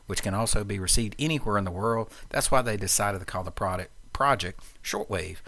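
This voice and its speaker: A man narrating a software tutorial in a casual, plain-spoken way, explaining things step by step. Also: fairly low pitch.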